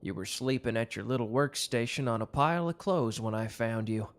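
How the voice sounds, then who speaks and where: A tender young mafia protector, voiced by a man in an intimate ASMR roleplay. He talks softly and close, reassuring and earnest, with a voice of middling pitch. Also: brisk, lightly acted.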